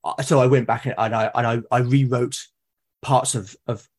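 A man's voice: mid-range voice, steady tone, normal speed, slightly rough. A man in a conversational interview as a podcast guest, explaining his creative process and often repeating words as he thinks aloud. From Britain.

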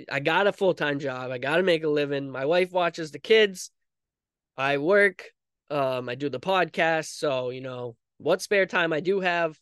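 A man hosts a casual solo podcast in a smooth, fairly high voice. He talks informally and rambles, often putting himself down lightly. The sound is dry and close, with very little room in it. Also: dry recording. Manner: rambling, self-deprecating, informal. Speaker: podcast host.

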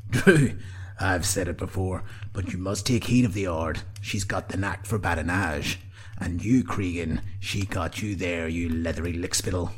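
Casting: husky male chieftain for a fantasy audiobook, acted by a male narrator, blunt, barking, patronizing. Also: deep voice, moderate pace. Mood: hearty, amused. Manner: barking, curt, hearty.

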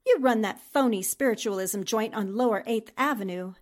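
A woman playing a sharp-witted, skeptical newspaper reporter in a 1940s-style radio drama, with a no-nonsense manner. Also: on the high side, normal speed, close to an everyday voice.

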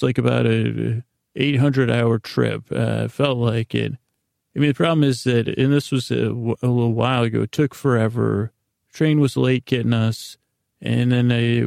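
A man hosting a bedtime podcast in a meandering, halting monologue full of tangents, with a gravelly, creaky voice. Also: mid-range voice, darkish tone, dry recording, studio quality. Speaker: podcast host.

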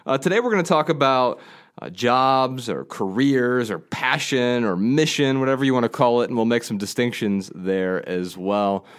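A man's voice: mid-range voice, moderate pace, smooth. A man in his thirties co-hosting a podcast in casual chat, his voice a little nasal from a cold, rambling with restarts and fillers. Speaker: podcast co-host.

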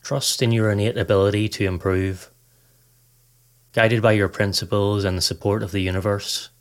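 Low-voiced man guiding a meditation. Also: steady tone. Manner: measured, instructional, reading aloud. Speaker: podcast host and meditation guide. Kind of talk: scripted guided meditation narration.

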